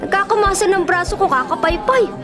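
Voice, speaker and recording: An actress playing a kindly, practical storekeeper wife in a Filipino radio drama. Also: female voice, very high-pitched, fairly quick, a crowd in the background. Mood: mildly complaining. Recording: music and public-space bustle behind.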